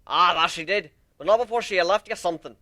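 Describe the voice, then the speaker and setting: A man voicing a gruff, blunt minotaur crewmate in a story. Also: high-pitched, fast, sharp tone, voice pushed up. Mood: matter-of-fact.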